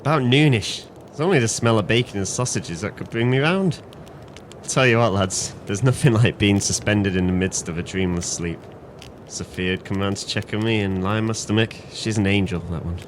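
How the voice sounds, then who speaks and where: Actor as lowish-voiced, merry drunken sailor. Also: male voice, normal speed, a little hiss or hum, natural voice. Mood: merry, grateful. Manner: merry, florid, sentimental. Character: drunken, trusting, grateful former sailor. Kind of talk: audio play acting.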